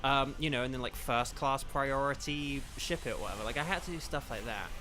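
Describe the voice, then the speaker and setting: A young man streaming a game, chatting casually with his chat, rambling with lots of fillers, a bit croaky. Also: mid-range voice, a little fast, background noise. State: slightly croaky from eating. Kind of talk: casual gaming livestream chat.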